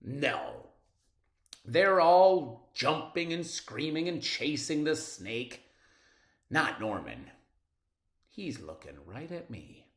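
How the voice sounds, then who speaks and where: Unhurried, expressive man reading, roomy sound. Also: medium pitch. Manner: expressive, dramatic pauses and drawn-out words. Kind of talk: animated children's story read-aloud.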